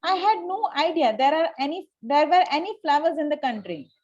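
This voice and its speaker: Woman playing a high-pitched haughty young Victorian lady. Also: lively intonation, a little fast, darkish tone, dull audio. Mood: icily polite. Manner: formal, stumbles over lines. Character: haughty young upper-class Victorian lady, coolly polite. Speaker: table-read participant. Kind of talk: amateur reading of a Victorian comedy play.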